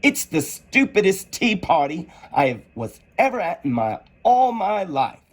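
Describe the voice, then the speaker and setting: A man's voice: sits high, very animated, a little slow, voice pushed up, full character voice. A man voicing a curious, outspoken little girl in a prim, forthright way for a storybook. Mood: indignant.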